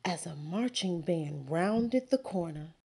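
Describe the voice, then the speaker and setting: A woman, a teacher, reading a children's picture book aloud with slow, expressive storytelling. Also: lowish voice, creaky, breathy edge. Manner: slow, expressive storytelling with sound words and character voices.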